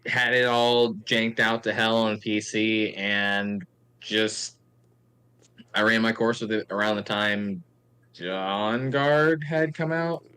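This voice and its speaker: Man, thirties, slightly low pitch, bright tone, smooth and clear, casual joking banter. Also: steady tone, slow. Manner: joking, wry exaggeration. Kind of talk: casual chat.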